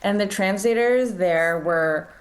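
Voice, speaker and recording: A woman's voice: medium pitch, slow pace. A woman in her thirties, born in the United States with Korean as her first language, talking casually as a novelist guest over a video call. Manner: relaxed, conversational, casual fillers. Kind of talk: remote interview answers.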